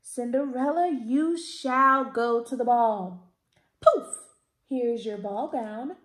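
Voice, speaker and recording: A woman voicing a kindly, commanding fairy godmother in a storybook, with grand, drawn-out proclamations. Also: fairly high pitch, a bit bright. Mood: grand, magical. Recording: roomy indoor echo.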